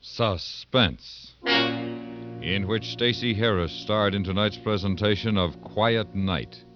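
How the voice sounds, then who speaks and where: A man's voice: lowish voice, slow pace. A man with an American accent reading scripted closing credits as a 1950s radio announcer. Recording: muffled vintage radio recording. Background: orchestral theme music.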